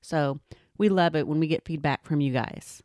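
A woman's voice: low-pitched, normal speed, a little mellow, no echo, studio quality. A woman co-hosting a podcast, talking in an earnest, conversational way. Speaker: podcast co-host. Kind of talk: casual chat.

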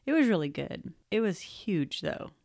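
A woman co-hosting a casual chat, relaxed and quick to laugh. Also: medium pitch, gravelly, no echo, studio quality.

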